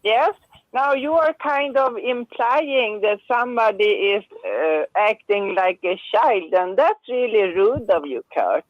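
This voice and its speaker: A woman from Sweden with a Swedish accent, a regular caller speaking English slowly and hesitantly on a call-in show. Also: a little high, lively intonation, no room sound. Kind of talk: casual call-in chat. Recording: muffled phone line.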